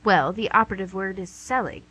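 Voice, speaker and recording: A woman's voice: medium pitch, brisk, fairly bright tone, husky. A woman playing the Devil in a comic radio play as a smug, teasing, chatty salesperson. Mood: pushy, wheeling-dealing. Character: the Devil, wheeling-dealing, smug, teasing tempter. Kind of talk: radio play comedy acting.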